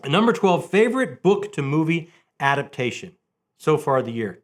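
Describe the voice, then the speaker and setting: A man hosting a casual, conversational book video, telling things in an animated way and repeating phrases for emphasis. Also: on the high side, normal speed. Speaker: book review video host.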